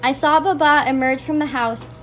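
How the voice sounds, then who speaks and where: A woman's voice: sits high, fairly even intonation, normal speed, lightly acted. Woman reading as troubled narrator, noisy recording. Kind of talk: scripted narration, readers' theatre reading.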